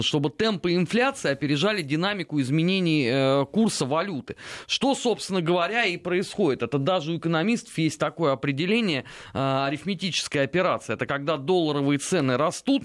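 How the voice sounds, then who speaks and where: A man hosting a talk show, reading out a viewer's question and answering in a measured way with frequent hesitations. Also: fairly high pitch. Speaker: host.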